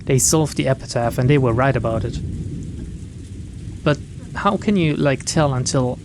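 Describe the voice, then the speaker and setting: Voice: mid-range voice, moderate pace, background noise. A let's player casually commentating and reading a visual novel's narration aloud. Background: game music behind the voice.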